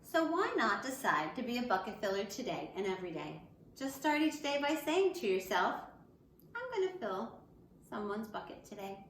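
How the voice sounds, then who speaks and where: A woman's voice: on the high side, very animated, moderate pace. A woman schoolteacher reading and talking to young children in simple, encouraging phrases. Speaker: elementary school teacher. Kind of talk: children's picture book read-aloud with asides to pupils. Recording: echoey room with faint background noise.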